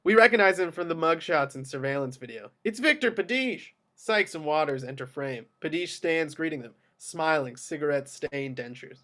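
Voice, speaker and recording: Higher-voiced man reading stage directions. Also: expressive, normal speed, hardly any pauses, bright, forceful tone. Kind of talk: scripted screenplay narration, amateur table read.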